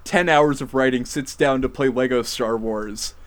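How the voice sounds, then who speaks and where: A giggly man improvising comedy casually with friends, in a halting way. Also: medium pitch. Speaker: comedy podcast host. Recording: other voices and laughter behind.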